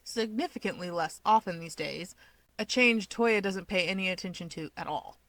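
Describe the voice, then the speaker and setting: A woman's voice: fairly low pitch, moderate pace, fairly bright tone, no room sound. Woman narrating fiction, dry close sound. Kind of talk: expressive scripted story narration.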